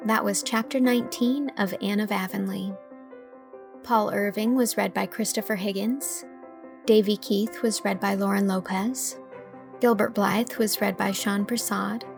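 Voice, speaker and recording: A woman's voice: mid-range voice, steady tone, a little slow, creaky, soft background music. A woman giving scripted literary narration as an audiobook reader.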